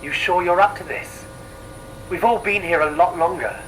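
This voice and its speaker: A cocky teenage hero, played by a man in an audio drama. His voice is sharp, fairly high and animated, teasing and casual, over faint noise. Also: moderate pace, rough recording. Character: cocky, teasing teenage male student superhero.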